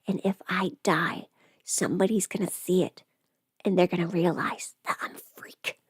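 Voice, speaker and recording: A woman playing a chatty, playful streamer who rambles casually through her makeup routine, often slipping into hushed asides. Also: lowish voice, fairly even intonation, moderate pace, slightly rough, no room sound, clean studio sound. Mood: hushed confiding. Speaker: livestreamer doing a get-ready-with-me makeup stream. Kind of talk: casual livestream chat, scripted audio roleplay.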